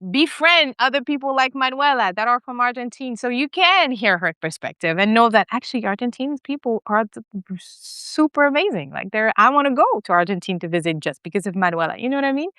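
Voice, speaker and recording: A woman from Senegal in her thirties, co-hosting a podcast in casual, animated chat full of fillers and restarts. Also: fairly high pitch, clean tone, dry recording, clean studio sound. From West Africa, Senegal. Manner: animated, lots of fillers and restarts, laughs often. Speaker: podcast co-host.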